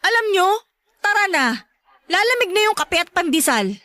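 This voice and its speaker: High, brisk Filipina friend. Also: female voice, sing-song, sharp tone, full character voice. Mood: dismissive. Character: brisk, cheerful, protective young woman friend. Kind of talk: Filipino radio drama acting.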